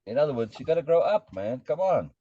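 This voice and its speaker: A man giving blunt, emphatic advice to a friend in casual conversation, slowing down to press his points. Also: on the high side, sing-song, mellow, no room sound, dull audio. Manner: emphatic, lecturing advice, slow for stress.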